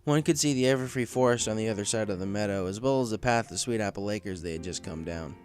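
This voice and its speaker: A man's voice: lowish voice, quick pace, a little mellow, clear, resonant tone. A man reading a story aloud as scripted narration. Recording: faint music under the voice.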